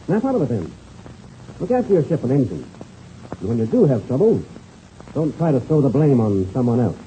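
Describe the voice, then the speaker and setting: An actor in an old-time radio serial playing a stern, principled race pilot and leader, firm and lecturing in manner. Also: male voice, mid-range voice, big pitch swings, fairly quick, dark tone, natural voice. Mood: stern, scolding. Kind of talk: 1930s radio serial acting. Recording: muffled old radio recording, faint noise.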